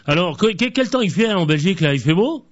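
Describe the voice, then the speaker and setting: A man hosting a radio interview, talking slowly with stumbles and repeated words. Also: sits high, expressive, dull audio. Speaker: radio host.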